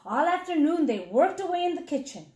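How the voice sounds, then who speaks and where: Casting: high-voiced woman teacher, expressive children's read-aloud narration, addresses the kids directly, echoey room sound. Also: a little fast. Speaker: reading intervention teacher.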